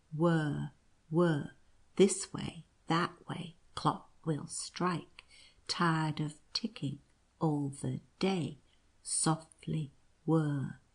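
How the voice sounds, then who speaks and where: A strange old godfather chants a slow, monotonous clockwork rhyme in a hushed, grating murmur, as a woman narrator puts him on. His voice sits low and breathy throughout. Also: exaggerated voice.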